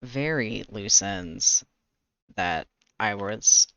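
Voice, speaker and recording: A man with a lowish voice talks casually to a livestream as he works. He rambles and hesitates, hunting for words. The sound is close and dry, though a little dull. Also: expressive, very slow, sharp tone, no room sound. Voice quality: raspy. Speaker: streamer, webcomic artist. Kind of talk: casual chatty livestream monologue. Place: indoors, livestream.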